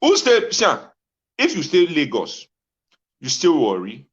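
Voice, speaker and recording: A man's voice: fairly high pitch, wildly swooping pitch, unhurried. A Nigerian-accented man delivering an impassioned informal rant to his audience in Pidgin, exclamatory and insistently repeating his phrases. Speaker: talk show host. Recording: dull lo-fi audio.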